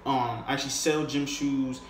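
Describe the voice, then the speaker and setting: A man's voice: mid-range voice, steady tone, normal speed. A man coaching on sales in a casual, unscripted, conversational way, using slang and often checking in with the listener. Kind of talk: casual, unscripted instructional talk. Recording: echoey room with faint noise.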